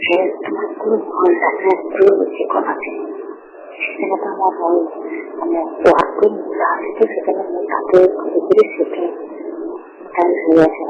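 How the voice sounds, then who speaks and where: A woman's voice: on the low side, steady tone, moderate pace. A woman talking casually and intimately over a phone call. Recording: very noisy phone call recording.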